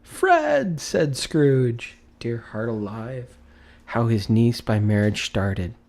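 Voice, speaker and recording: A man reading a classic story aloud as an audiobook narrator, in an expressive, theatrical storytelling manner. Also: medium pitch, slow.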